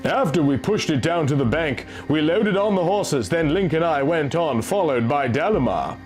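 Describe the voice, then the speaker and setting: A man reads a fantasy story aloud as narrator, theatrical and emphatic. His voice is fairly high and swings widely in pitch, with music playing faintly underneath. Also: expressive, moderate pace. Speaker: narrator, reader. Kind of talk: dramatic reading of a first-person fantasy story.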